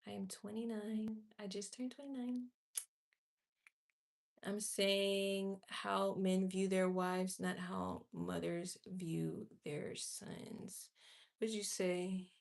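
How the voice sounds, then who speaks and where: A woman's voice: mid-range voice, level tone, slow, vocal fry. A woman in her late twenties chatting candidly and with strong opinions on a livestream, answering her viewers' comments directly. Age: 20s. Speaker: livestream host.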